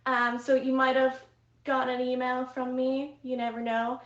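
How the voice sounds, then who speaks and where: A woman's voice: sits high, completely flat delivery, moderate pace, roomy sound, muffled recording. A young woman from Ireland with an Irish accent, chatting as a guest on a livestream in a friendly, slightly nervous way. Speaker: guest, VIP manager. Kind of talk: casual chat on a livestream.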